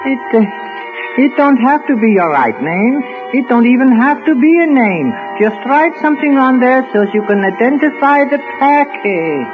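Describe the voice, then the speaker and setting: A very high-voiced old pawnbroker, lively in pitch and bluntly businesslike. His impatient, plain-spoken lines come through a muffled old radio drama recording. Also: moderate pace, music in the background. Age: older. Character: old male pawnbroker, matter-of-fact and impatient.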